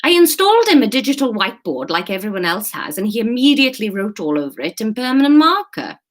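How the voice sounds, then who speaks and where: Storybook university worker, female, read by a woman: dry, exasperated, lively pitch swings. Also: quick pace. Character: wry, eye-rolling female university worker.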